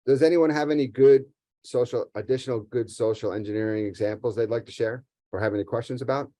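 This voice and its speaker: A man's voice: mid-range voice, fast talker, mellow, dry recording. A man teaching an interactive online class over a video call, prompting participants to share and ask questions. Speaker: instructor leading an online class.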